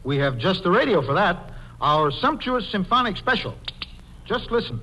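A man's voice: sits high, sing-song, normal speed, bright, forceful tone, heavily acted. An actor playing a self-satisfied, formal salesman with a pompous, florid manner in an old radio comedy. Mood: smug, suave. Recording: very noisy old radio recording.

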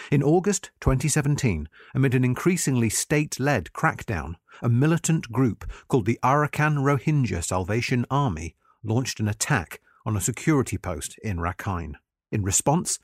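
A man's voice: mid-range voice, breathy edge, dry recording, clean studio sound. A man reading a magazine article aloud as scripted, documentary-style narration.

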